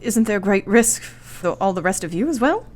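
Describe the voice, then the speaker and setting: A woman's voice: mid-range voice, expressive, normal speed, darkish tone, slight background noise, natural voice. A woman acting an earnest, anxious young Victorian wife in a radio play, devoted and thoughtful, with formal, precise period phrasing. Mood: concerned.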